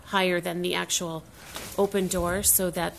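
A woman's voice: on the low side, flat delivery, normal speed, vocal fry, a little hiss or hum. A woman showing a home project on her phone camera in an open garage, talking casually with many ums and restarts. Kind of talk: casual home video walkthrough. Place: open garage and driveway, outdoors. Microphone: phone camera held by the speaker.

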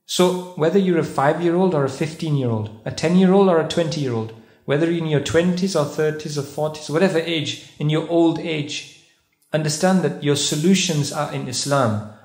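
A man giving a sermon-style lecture at a mosque, putting questions to the audience and reciting Arabic verses. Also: on the high side. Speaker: visiting speaker at a mosque conference. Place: carpeted mosque prayer hall, indoors. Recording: echoey mosque hall.

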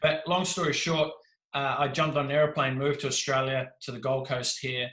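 Give me an animated, jokey New Zealand man in his thirties, bright-toned and echoey. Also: medium pitch, normal speed. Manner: animated, gestures a lot, jokey. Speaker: podcast guest. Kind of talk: casual storytelling. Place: small room indoors. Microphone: video call with desk mic. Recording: echoey room.

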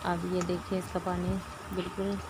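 Woman, low monotone voice, home kitchen, loud sizzling noise behind. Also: moderate pace. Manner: steady, explains each step, often adds a checking "theek hai". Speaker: cooking channel host. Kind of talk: casual cooking demonstration. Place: home kitchen, over a stove.

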